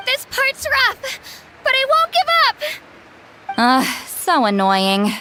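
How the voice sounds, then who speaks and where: A woman's voice: extremely high pitch, wildly swooping pitch, takes it slowly, heavy background noise, exaggerated voice. A woman voicing a plucky, competitive young girl athlete in a video game, spirited, with game music behind. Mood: determined.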